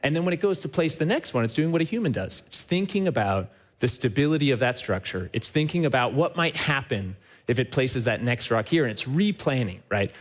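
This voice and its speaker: A man's voice: mid-range voice, expressive, a little fast. Upbeat man presenting on a stage. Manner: upbeat and enthusiastic, often checks in with a tag question; addresses the audience directly. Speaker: company CEO giving a stage talk. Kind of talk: live conference presentation. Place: trade show stage before a live audience.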